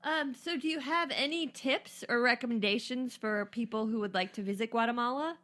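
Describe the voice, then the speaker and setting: A woman's voice: on the high side, fairly quick, fairly bright tone. A woman hosting a casual podcast, asking questions and reacting briefly. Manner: prompting questions, short reactions. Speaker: podcast host. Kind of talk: casual interview chat.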